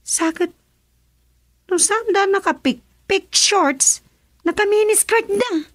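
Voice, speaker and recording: A woman's voice: very high-pitched, slow pace, no echo, clean studio sound. An actress playing a skeptical village woman in a Filipino radio comedy drama, drawing out doubtful words with an Ilocano accent. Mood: curious.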